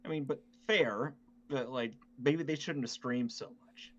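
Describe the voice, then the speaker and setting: A man in a casual game discussion, speaking haltingly as if thinking aloud, on a lo-fi recording. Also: mid-range voice, a bit bright. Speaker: podcast host. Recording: dull lo-fi mic, clear noise.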